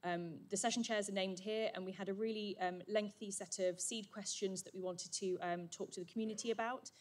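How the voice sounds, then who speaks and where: A woman giving a brisk, upbeat summary at a conference, with frequent "um" fillers. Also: medium pitch, fairly even intonation. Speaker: session chair presenting summaries. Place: conference hall. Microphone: handheld or podium microphone.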